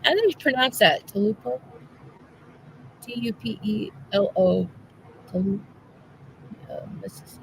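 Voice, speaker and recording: Slow-talking gravelly woman host. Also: mid-range voice, a little hiss or hum, low-quality recording. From Kansas. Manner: chatty, reads facts aloud and stumbles over words. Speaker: livestream host. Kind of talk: casual chat.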